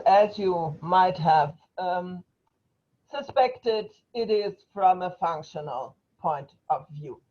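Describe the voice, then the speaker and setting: An older woman, a non-native English speaker, giving an academic lecture over a video call with measured pauses and frequent hesitations. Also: mid-range voice, muffled recording. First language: not English. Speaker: invited speaker, professor.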